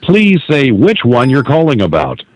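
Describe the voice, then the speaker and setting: A man delivers scripted phone menu and hold messages with animated intonation. His mid-pitched voice is dry and comes over a telephone line. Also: moderate pace, no room sound. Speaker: automated phone system announcer.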